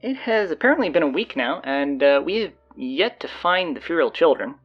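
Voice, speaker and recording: A man playing a flustered, sardonic stand-in high school announcer, rambling hesitantly and casually through audio drama announcements. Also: big pitch swings, normal speed, lo-fi sound, faint music behind. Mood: awkward, deadpan.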